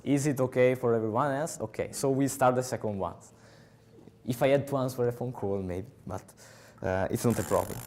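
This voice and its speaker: A young man with an Italian accent giving a technical talk at a conference, pausing often with filler sounds, on a headset mic in a large hall. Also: mid-range voice, a little mellow. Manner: halting, many filler uhs. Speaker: conference speaker.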